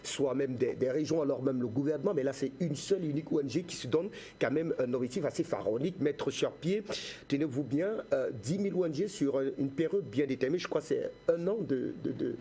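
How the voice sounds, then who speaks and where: A man's voice: medium pitch, brisk, warm tone, husky. A man interviewing a guest on television, putting wordy, emphatic questions that he often rephrases. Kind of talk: talk show interview questioning.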